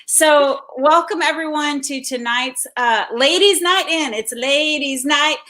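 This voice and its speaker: A woman's voice: sits high, measured pace, sharp tone. An enthusiastic, gushing woman, hosting a casual live chat show. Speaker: show host.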